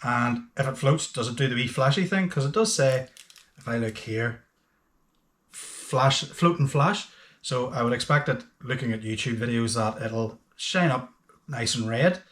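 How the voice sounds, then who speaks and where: A man's voice: mid-range voice, measured pace, fairly bright tone. A man talking casually and a little hesitantly through a hands-on product review, thinking aloud as he goes. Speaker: host of a boating gadget channel.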